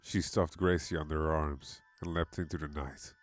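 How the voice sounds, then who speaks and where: A man's voice: a bass voice, fairly even intonation, normal speed. A man giving a dramatic scripted narration of a horror story. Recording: music behind the voice.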